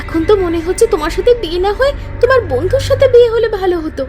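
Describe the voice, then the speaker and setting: Cartoon role: woman voicing a stubborn greedy young wife, piercing high voice, faint music. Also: animated, racing. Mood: scornful. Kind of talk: cartoon voice acting.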